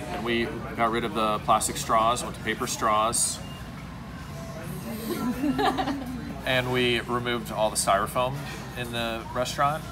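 A man in his forties, a restaurant owner, talking earnestly to a phone camera at home, gesturing as he explains. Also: mid-range voice. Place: home room indoors. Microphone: phone camera close up.

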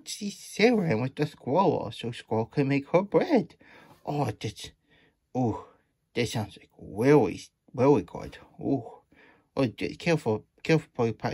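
A man's voice: medium pitch, sing-song, normal speed, darkish tone, breathy edge, no room sound. A man voicing a cheerful, childlike plush hedgehog who reads storybooks with chatty asides in muddled third-person baby talk. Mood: wondering, sweet. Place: small indoor room at a desk.

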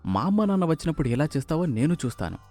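A man acts out a sarcastic young husband in a cartoon family tale. He sounds irritable and scolding, with his pitch pushed up and swinging freely. Music plays underneath. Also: very animated, rapid-fire. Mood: curt. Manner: scolding, sharp-tongued. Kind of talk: moral-story cartoon dialogue.

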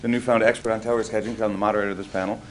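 A man's voice: fairly low pitch, flat delivery, rapid-fire, noisy background. A middle-aged man from the United States moderating a finance panel from a lectern, chatty and self-deprecating. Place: conference room at a lectern.

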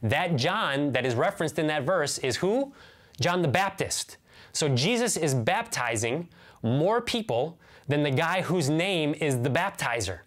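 A man's voice: fairly high pitch, expressive, measured pace, a bit bright. An American man in his thirties giving a sermon as a pastor, earnest and emphatic, repeating key phrases and asking rhetorical questions. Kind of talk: sermon, teaching to camera. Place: indoors, small room with bookshelves.

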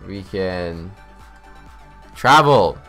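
A young man giving casual live gaming commentary, chatting, thinking out loud and reading out chat messages. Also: sits low, lively intonation, very slow, faint music behind. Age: young adult. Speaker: livestreamer. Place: indoors, small home room.

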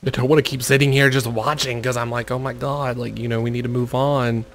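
Monotone dude doing gameplay commentary, kinda gravelly, faint hiss behind him. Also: male voice, medium pitch, brisk. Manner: mutters urgings at the screen. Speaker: gaming video creator.

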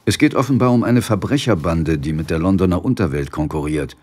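An actor playing a composed police inspector in an audio drama, matter-of-fact and dry. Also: male voice, low-pitched, brisk, darkish tone. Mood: businesslike. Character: composed, probing male London police inspector. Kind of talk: German radio play dialogue and narration.

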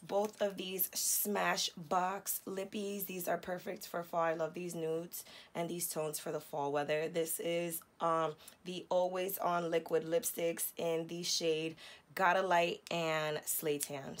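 A young woman beauty vlogger with a hoarse, raspy voice after losing it, chatting casually and slangily about makeup at home. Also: lowish voice. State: hoarse, lost voice. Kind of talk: casual chatty product talk. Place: home beauty room, indoors.

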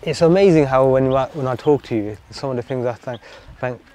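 A man interviewing a guest, thinking aloud in a hesitant, reflective way with many pauses. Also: mid-range voice, warm tone, slight background noise. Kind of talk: casual interview.

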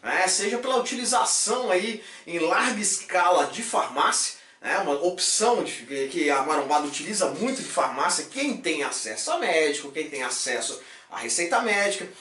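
A man's voice: a little high, sing-song, moderate pace, bright, forceful tone, far from the mic. A man in his thirties hosting a casual fitness vlog, talking animatedly with jokey catchphrases. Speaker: fitness supplement YouTuber. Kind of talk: energetic casual vlog chat. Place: small room with shelves of supplements. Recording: echoey room, voice off-mic.

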